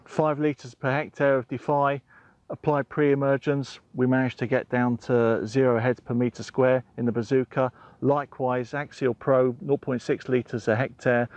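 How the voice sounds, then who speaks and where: A middle-aged man talks to camera outdoors in a sunny crop field, his voice sitting at an ordinary middle pitch. He lays out numbers and points one by one in a measured, methodical way. The sound is a little dull and muffled. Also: darkish tone. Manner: measured, methodical, lists figures step by step. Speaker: seeds technical manager. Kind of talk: explanatory presentation to camera. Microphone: waist-up framing, camera at a few metres.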